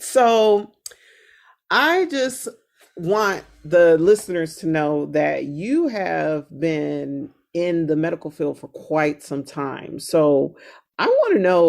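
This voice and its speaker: A woman's voice: sits low, creaky, darkish tone. A woman hosting a podcast interview, upbeat and enthusiastic, with many fillers and restarts. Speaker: podcast host.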